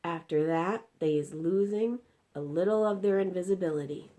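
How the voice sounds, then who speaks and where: A woman's voice: lowish voice, normal speed, mellow, natural voice. A woman voicing an indignant, distressed giant from a storybook, exclaiming in mangled grammar and drawing out words emphatically. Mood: emphatic explaining.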